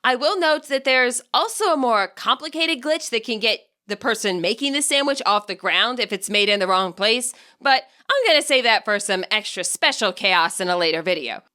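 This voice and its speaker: A woman narrates a scripted story in an expressive audiobook style. Her voice is fairly high and bright. Her intonation moves around a lot, keeping the reading animated. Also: normal speed, few pauses. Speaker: audiobook narrator and fan fiction author.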